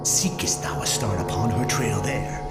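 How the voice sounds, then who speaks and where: A man playing a grave, haunted, aging holy man in a fantasy game, with solemn archaic speech that is often breathy and hushed. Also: on the low side, normal speed, lightly acted. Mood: foreboding. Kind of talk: dramatic fantasy game acting. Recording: echoey room, loud music behind.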